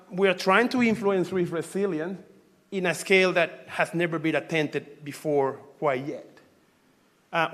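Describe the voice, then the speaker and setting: A man's voice: on the high side, fairly bright tone. Venezuelan-born man giving a conference talk. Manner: conversational, halting, frequent fillers and restarts. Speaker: researcher presenting at a symposium.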